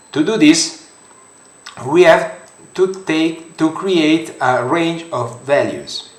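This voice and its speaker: A young man with an Italian accent talks slowly through a screen tutorial. He hesitates often and restarts his phrases. His voice carries a roomy echo. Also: mid-range voice. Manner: halting, many fillers and restarts. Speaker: software tutorial creator. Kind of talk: screen-recorded software tutorial.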